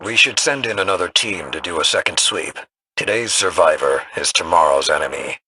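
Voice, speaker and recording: An actor playing a stern, battle-hardened old commander in a video game, grave and clipped, with a gravelly husky voice. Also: male voice, sits low, expressive, a little fast, pushed and bright, full character voice, poor audio. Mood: commanding.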